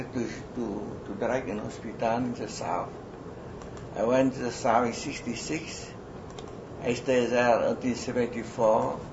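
Old vietnamese-accented guy, french was his first language, slow halting interview answers, gravelly, noisy muffled tape. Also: medium pitch. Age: older. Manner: slow, halting, many restarts and fillers. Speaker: interviewee, retired army surgeon. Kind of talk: oral history interview. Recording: lo-fi noisy recording.

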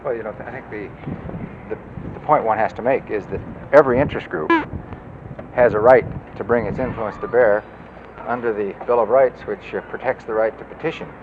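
A man campaigning for office and answering a voter's question in measured political phrasing, with a few stumbles. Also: on the low side. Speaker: political candidate. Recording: lo-fi outdoor recording with street noise.

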